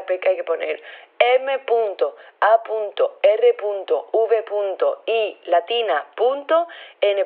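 Over a narrow, tinny phone line, an earnest resident rambles through an appeal in Castilian Spanish. The delivery is informal and hesitant, with a middling pitch, like an audio drama voicemail. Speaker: resident leaving a public voice message. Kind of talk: acted informal voice message.

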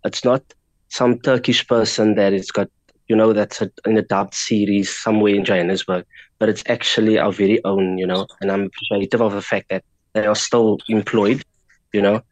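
A South African man whose first language is Afrikaans speaks as a radio guest. His voice is fairly low and dry, and he makes his points earnestly. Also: little pitch movement, normal speed, dry recording, rough recording. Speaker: guest, fan community founder. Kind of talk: earnest interview remarks.

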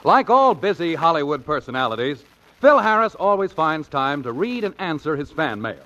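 A man's voice: fairly high pitch, very animated, moderate pace, pushed and bright, slight background noise. A man announcing a 1940s radio comedy show in a scripted presenter's style. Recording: muffled 1940s radio recording.

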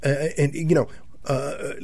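A man in his seventies hosting a conservative radio talk show, folksy and halting, full of fillers and repeated words and often calling his listeners "my friends". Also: on the high side, little pitch movement, darkish tone, slightly rough. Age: 70s.

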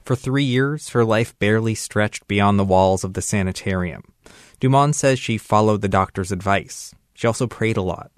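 A man reading a scripted radio news report in a measured, even delivery. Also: lowish voice, dry recording, studio quality.

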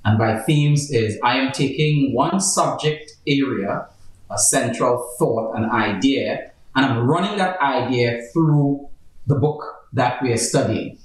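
A man's voice: medium pitch, unhurried. A man, a pastor and host, explaining a Bible study theme in a conversational way with playful asides. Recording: very echoey room, far from the mic.

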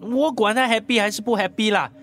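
Jealous, accusing Singaporean husband. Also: male voice, sits high, a little fast, a bit bright, a little hiss or hum. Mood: sarcastic, annoyed. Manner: confrontational, accusing. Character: jealous, suspicious husband, sarcastic and quick to accuse. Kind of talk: radio drama acting.

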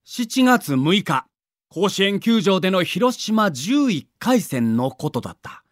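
A man's voice: high-pitched, sing-song, takes it slowly, no room sound, clean studio sound. A man narrating a sports documentary in a theatrical, emphatic storytelling manner.

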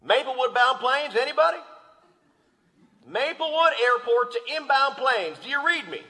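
Rustic bumbling airport boss, high voice all over the place, big echoey hall. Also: male voice, moderate pace, full character voice. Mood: calling out. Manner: rustic, stammering, reassuring, excitable. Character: folksy, eager, bumbling small-town airport superintendent, man. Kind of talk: comedic live radio play. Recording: live theatre hall, long reverb.

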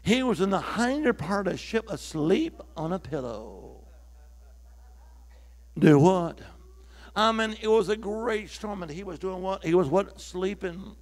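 A man's voice: sits high, animated, unhurried. A man preaching a sermon to a church, calling out to the congregation for responses and building to emphatic peaks. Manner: call-and-response preaching, rising to emphatic shouts.

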